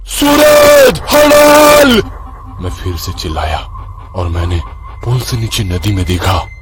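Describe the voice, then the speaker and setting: Low-voiced man narrating a horror story. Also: moderate pace, heavy background noise. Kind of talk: dramatic first-person horror narration.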